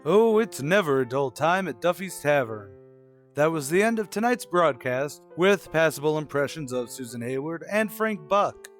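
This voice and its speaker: A man's voice: on the high side, animated, moderate pace, faint music behind. A man working as announcer, reading a scripted sign-off in the style of old-time radio.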